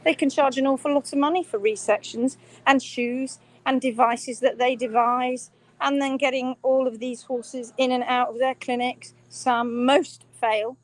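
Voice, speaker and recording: Woman host, higher voice, emphatic teacher, phone-recorded workshop stream. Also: steady tone, a little slow. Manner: emphatic, reads viewer comments aloud. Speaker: hoof-trimming workshop host. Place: indoor workshop room.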